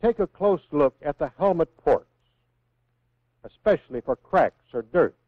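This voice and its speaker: An American man reading scripted instructions in a measured, clearly enunciated way, as the narrator of a 1960s military training film. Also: fairly high pitch, animated, no room sound. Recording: phone-like vintage 1960s film soundtrack.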